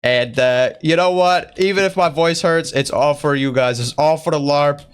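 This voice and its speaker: A man's voice: normal speed, clear, resonant tone. Strained, raised-voice man chatting casually. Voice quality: strained put-on higher voice, imitating another YouTuber. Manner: slangy bro-talk, rambling. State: voice sore from straining. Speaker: YouTuber answering viewer questions. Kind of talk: casual Q&A vlog chat in character.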